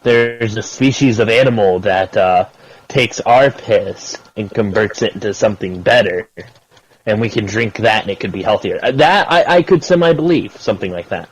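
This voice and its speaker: A man's voice: lowish voice, moderate pace, husky. A man chatting casually in a repetitive, sceptical way over a lo-fi voice call. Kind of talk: casual chat. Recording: dull lo-fi call audio.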